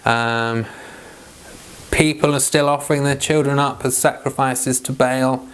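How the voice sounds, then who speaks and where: A man's voice: medium pitch, steady tone, measured pace, fairly bright tone, clean tone, rough recording. A man leading an informal Bible read-along, reading scripture aloud and giving chatty devotional commentary.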